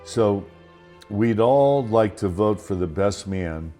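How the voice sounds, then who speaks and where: An older man with a very low voice talks in a rambling, conversational monologue. He keeps restarting and drifting into asides. Faint music plays softly behind him. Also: animated, takes it slowly. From Los Angeles, United States. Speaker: podcast host. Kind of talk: casual monologue.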